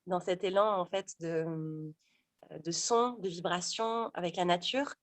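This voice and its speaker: A woman's voice: lowish voice, unhurried, no echo. A woman in her thirties, a sophrologist and stage actor, talking casually in an interview with many fillers and restarts. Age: 30s.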